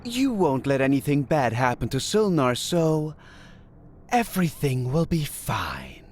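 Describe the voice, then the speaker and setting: Slow, swooping god of deception. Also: male voice, mid-range voice, sing-song. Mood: reassuring. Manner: measured, formal, cryptic. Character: smooth, enigmatic male god of deception, unhurried and knowing. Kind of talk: scripted fantasy audio drama acting. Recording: music bed under the voice.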